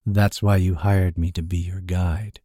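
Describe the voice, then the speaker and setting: A sly, world-weary fantasy hireling, as a male reader plays him. The voice sits low, sour and dry under a show of deference, in a close, dry recording. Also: flat delivery, measured pace, mellow, no echo. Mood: smooth, weary.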